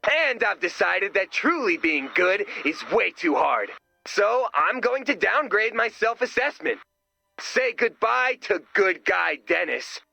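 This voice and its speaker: An actor playing an excitable, self-justifying goofy man in a video game, with a whiny, dramatic manner. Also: sits high, a little slow, creaky, dry recording, faint music behind, full character voice. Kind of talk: scripted video game voice acting.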